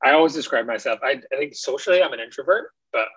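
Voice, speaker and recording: A man's voice: mid-range voice, lively intonation, fast, a bit bright, husky, dry recording. A man in his thirties from Scotland, with a mild mixed Scottish accent, chatting casually as an interview guest and laughing often. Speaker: guest, ecologist. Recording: dull lo-fi dry audio.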